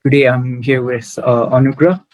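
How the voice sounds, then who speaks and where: A man's voice: medium pitch, fairly even intonation, normal speed, a little mellow, dry recording. A young man, hosting a casual podcast interview. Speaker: podcast host.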